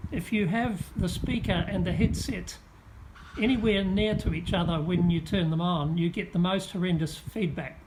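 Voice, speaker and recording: A man giving a casual, methodical product demonstration in plain words. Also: sits high. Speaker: product reviewer. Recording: echoey with clear background noise.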